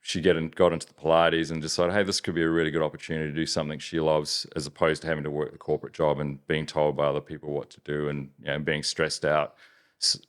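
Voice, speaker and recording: A man from New Zealand with a softened Kiwi accent, chatting casually as a business owner in an interview, rambling with many restarts. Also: very deep voice, monotone, fast, clean tone. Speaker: business owner, podcast guest.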